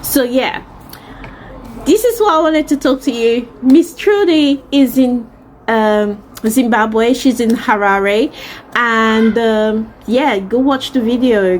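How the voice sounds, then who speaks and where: A woman's voice: a little high, darkish tone, slight background noise. A woman from Central Africa chatting casually as a vlogger, effusive and emphatic, often repeating words for emphasis. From Central Africa, Congolese and Cameroonian. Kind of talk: casual chat to camera.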